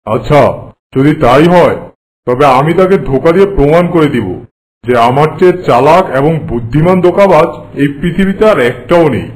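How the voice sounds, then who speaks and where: A man playing a boastful, haughty king in an animated folk tale, speaking in a pompous, commanding way. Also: a little high, a little fast, natural voice, rough recording. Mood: determined. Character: boastful, haughty king who prides himself on trickery.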